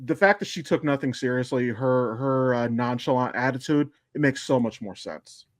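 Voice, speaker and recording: A man's voice: medium pitch, moderate pace, dry recording, studio quality. A man co-hosting a podcast with casual, emphatic commentary on shows and films, explaining at length and swearing freely. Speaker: podcast co-host.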